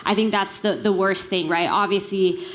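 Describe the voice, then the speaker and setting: A woman's voice: medium pitch, fairly even intonation, normal speed. A woman from Taiwan speaking casually and conversationally as a panelist, often checking in with the listener. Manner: conversational, frequent check-in tags like "right". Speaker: panelist, business executive.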